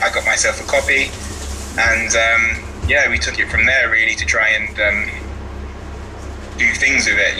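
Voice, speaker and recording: A man teacher chatting casually as a podcast guest, in a hesitant, halting way. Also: fairly low pitch, rough recording. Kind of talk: casual chat.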